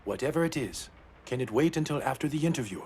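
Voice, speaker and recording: A man's voice: mid-range voice, lively intonation, fast talker, background noise, natural voice. A man voicing a composed, courteous and self-assured public figure in a video game. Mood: polite, calm. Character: composed, courteous male public figure proud of his homeland. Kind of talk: video game dialogue acting.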